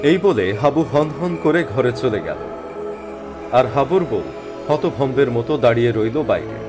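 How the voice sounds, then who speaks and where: A man narrating a scripted animated horror story in a dramatic storytelling style. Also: medium pitch, normal speed, music in the background.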